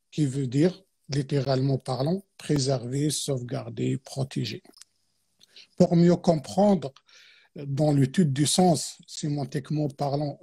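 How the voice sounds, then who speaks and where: Guy teaching on a livestream, mellow husky voice, lots of euh and restarts. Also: a little high. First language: Arabic. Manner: hesitant, many fillers and restarts. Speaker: guest teacher. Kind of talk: explanatory religious talk on a live stream.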